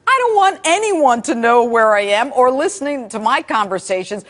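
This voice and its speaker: High-voiced American woman hosting news. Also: animated, normal speed, a bit bright. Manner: pointed, challenging questioning. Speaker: TV news host, addressed as Judge. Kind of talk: live broadcast news interview.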